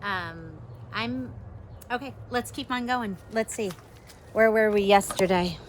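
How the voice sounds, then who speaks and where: A woman teacher reading a storybook to pupils, expressive, with casual asides and questions to the young listeners. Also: mid-range voice, slow, a little hiss or hum. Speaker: elementary school teacher.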